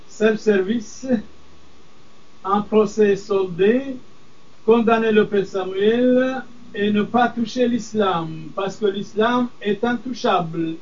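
An older man, a priest whose first language is Aramaic, answering interview questions in a firm, deliberate way. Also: high voice, a little mellow. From Middle East. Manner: firm, deliberate, measured emphasis. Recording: distant, dull lo-fi sound.

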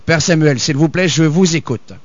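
A man's voice: fairly high pitch, moderate pace, no room sound. Hesitant male interviewer, lo-fi sound. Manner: hesitant, many fillers and restarts. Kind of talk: interview questions. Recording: dull lo-fi sound.

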